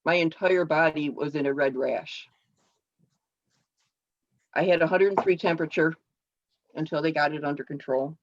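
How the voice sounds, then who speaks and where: A woman giving a personal speech to her club over a video call, halting and explanatory with frequent restarts. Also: low-pitched. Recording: dull lo-fi call audio.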